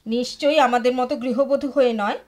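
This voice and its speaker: A woman's voice: high voice, steady tone, a little fast, close to an everyday voice. A woman playing a sentimental, effusive housewife in an audio drama, gushing and dramatic with old friends. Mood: delighted, gushing. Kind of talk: Bengali audio drama acting.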